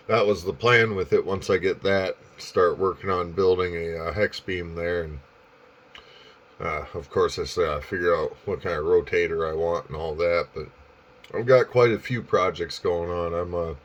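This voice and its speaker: Very low-voiced man chatting on ham radio. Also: unhurried, dull audio. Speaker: amateur radio operator. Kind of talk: casual ham radio chat.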